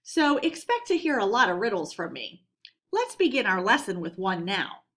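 A woman's voice: medium pitch, sing-song, normal speed, fairly bright tone. A woman teacher giving a read-aloud lesson for children in an instructional manner, addressing her pupils directly.

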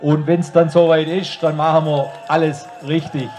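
A man with a Swabian accent and a slightly high voice speaks from an outdoor stage. His delivery is informal and colloquial, as a rally speaker talking straight to the people in front of him through a handheld microphone. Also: level tone, moderate pace, a little mellow, faint music behind. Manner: colloquial, addressing the crowd directly. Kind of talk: informal speech at a political rally. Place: outdoor stage under an open tent.

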